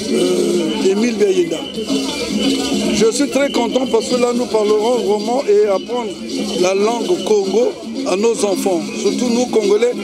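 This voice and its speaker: High-voiced man over crowd and music. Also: rough recording. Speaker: conference attendee. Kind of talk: spontaneous remarks to a camera.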